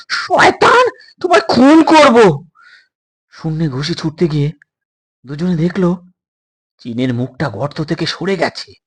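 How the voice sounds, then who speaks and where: A storyteller narrating a suspense tale in a dramatic, deliberate manner. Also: medium pitch, darkish tone, husky.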